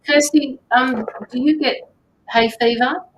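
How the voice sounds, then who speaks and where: A woman doing live tarot and mediumship readings, speaking gently to viewers by name with frequent hesitations. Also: a little slow. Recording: slightly dull lo-fi sound with faint rumble.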